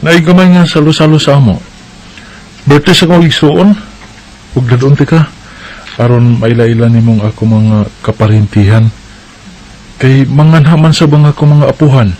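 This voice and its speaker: An actor with a Bisaya Filipino accent playing an affectionate, chatty young boyfriend in a radio drama. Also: male voice, mid-range voice, moderate pace, long pauses, a little mellow, natural voice, low-quality recording. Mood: coaxing. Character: eager, affectionate young boyfriend introducing his sweetheart.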